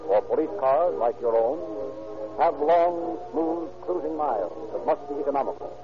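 A man's voice: on the high side, lively intonation, moderate pace, phone-quality sound. A man announcing and narrating in scripted 1930s radio style, formal, emphatic and declamatory. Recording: old radio recording with music.

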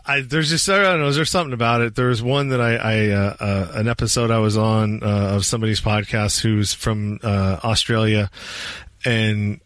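Guy hosting a podcast, kinda low voice, rambles on casual, super dry no room sound. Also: steady tone, normal speed, a bit bright, no echo. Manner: rambling, loose and talkative. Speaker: podcast host.

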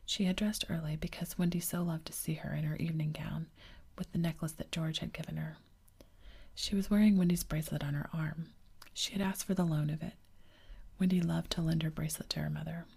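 Woman, whispering, storybook narration, gravelly, monotone. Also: sits low, a little fast. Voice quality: whispering throughout. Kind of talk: whispered ASMR storybook reading.

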